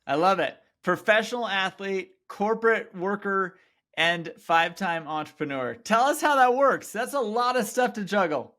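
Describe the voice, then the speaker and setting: A man hosting a podcast interview, enthusiastic and quick to affirm his guest. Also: high voice, animated, pushed and bright. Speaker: podcast host.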